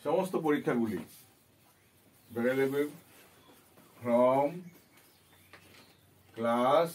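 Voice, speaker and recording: A man with a Bengali accent teaching in a deliberate, dictation-like style, repeating phrases and checking that students follow. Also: mid-range voice, darkish tone. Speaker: English teacher. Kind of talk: dictation-style lesson, spelling out and translating phrases. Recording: distant microphone.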